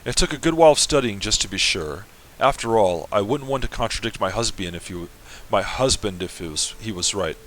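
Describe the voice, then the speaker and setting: A man's voice: fairly low pitch, expressive, brisk, few pauses, a bit bright, slight background noise, poor audio. A man reading a letter from an earnest fifteen-year-old bride to her mother. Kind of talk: semi-dramatic reading of a game.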